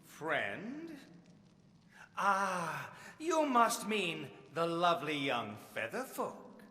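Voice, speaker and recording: A man's voice: fairly high pitch, very animated, drawn-out words, sharp tone, full character voice. A man playing a courteous, fanatical doomsday cult leader in a video game, ceremonious and unctuous. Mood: unruffled, preaching. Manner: unctuous, ceremonious, over-polite. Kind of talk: theatrical video game voice acting. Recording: echoey hall reverb over game music.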